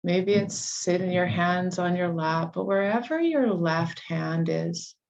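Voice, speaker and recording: A woman leading a guided meditation, giving soothing, unhurried instructions with long pauses between phrases. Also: fairly low pitch, gravelly, darkish tone, lo-fi sound.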